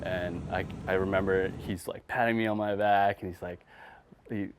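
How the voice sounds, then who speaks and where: A man's voice: low voice, steady tone, normal speed. A man, a firefighter, casually telling a first-person story in an interview. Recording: clear background noise.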